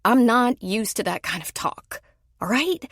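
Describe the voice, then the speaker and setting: A woman's voice: on the high side, expressive, unhurried, no echo, studio quality, voice pushed up. A woman voicing Lola, a blunt, guarded single mother of forty who gets defensive when complimented. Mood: pleading.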